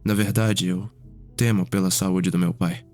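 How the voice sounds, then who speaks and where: Man acting a low-voiced refined vampire nobleman. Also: steady tone. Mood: reflective, wistful. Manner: measured, gentle, formal. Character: genial, refined elder vampire brother, wistful nobleman. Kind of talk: ASMR roleplay storytelling. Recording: music behind the voice.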